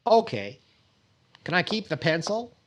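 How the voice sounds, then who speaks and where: A man's voice: high-pitched, big pitch swings. A man playing a vain, thrifty, flustered middle-aged comedian who stammers indignantly in old-time radio comedy style. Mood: mild, hesitant. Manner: stammering, indignant, drawn-out delivery.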